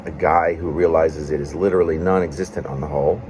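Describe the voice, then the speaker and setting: A man reading his own reflective, personal writing in a meandering, halting way, with pauses between phrases. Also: very deep voice, fairly even intonation, noisy background. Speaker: poet reading own work.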